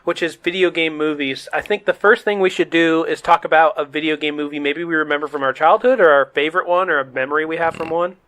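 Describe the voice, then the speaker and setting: A man with a fairly high voice hosts a casual podcast. He talks in a loose, conversational way, as if among friends. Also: quick pace, hardly any pauses, fairly bright tone. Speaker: podcast host.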